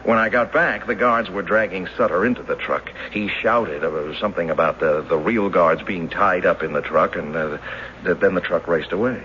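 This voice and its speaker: An actor in a radio drama playing a mild, earnest schoolteacher who speaks in a halting, hesitant way. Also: male voice, sits low, bright, forceful tone. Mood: earnest, recalling. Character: earnest mild schoolteacher, former bank teller, recalling a robbery. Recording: dull hissy old radio recording.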